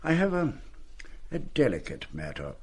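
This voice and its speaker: Suave, lilting headmaster. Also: male voice, mid-range voice, wildly swooping pitch, moderate pace, creaky, slight background noise. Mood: delicate, smooth. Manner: urbane, wordy, patronising. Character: suave, condescending male public school headmaster, falsely genial. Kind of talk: radio play acting.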